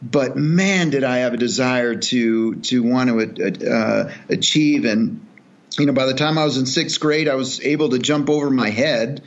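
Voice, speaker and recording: A man's voice: mid-range voice, unhurried. Earnest middle-aged man, slightly muffled audio. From El Salvador. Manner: earnest, reflective, many fillers and restarts. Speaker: podcast guest, charity executive. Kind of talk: relaxed storytelling interview.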